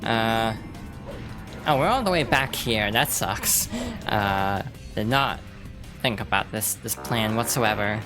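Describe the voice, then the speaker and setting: A woman's voice: a bass voice, expressive, slow pace. A woman streamer rambling through casual let's-play commentary, with lots of fillers and restarts. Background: game music.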